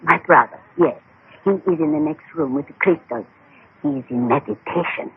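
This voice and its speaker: Husky young fortune teller's sister. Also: female voice, deep voice, normal speed, exaggerated voice. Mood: gracious, deferential. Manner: formal, courteous phrasing. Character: beautiful young Indian woman, fortune teller's gracious, deferential sister. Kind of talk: radio drama acting. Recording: muffled, tinny old radio broadcast.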